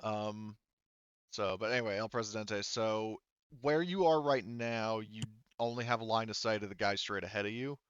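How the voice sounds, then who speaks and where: A man with a clear, smooth voice runs a tabletop roleplaying session as game master. The sound is dry and close, and his delivery is casual and conversational. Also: on the low side, normal speed, fairly bright tone, no room sound, muffled recording.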